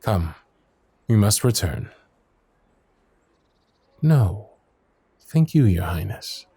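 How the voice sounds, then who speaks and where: Low-voiced, gentle young knight, played by a man. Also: very animated, very slow, creaky, slightly rough, exaggerated voice. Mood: pleading, devoted. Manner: formal, courteous, soft-spoken, earnest. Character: young, dutiful, gentle royal knight, tenderly devoted. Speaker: voice actor in audio roleplay. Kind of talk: intimate scripted fantasy audio roleplay.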